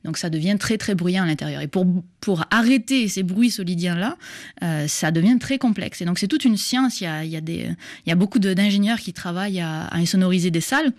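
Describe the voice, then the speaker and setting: A woman's voice: fairly low pitch, brisk, no room sound, studio quality. A woman in her thirties from southern France, with an accent mixing southern French and Québécois, explaining science as an interview guest and often restarting her sentences with fillers. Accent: southern French mixed with Québécois. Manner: hesitant, many restarts and fillers.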